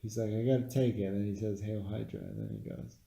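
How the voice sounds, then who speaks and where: A man's voice: fairly low pitch, fairly even intonation, fast talker, gravelly, warm tone, highs cut off. A man co-hosting a casual movie review podcast, often hesitating as he searches for his words. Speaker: podcast co-host. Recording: echoey room.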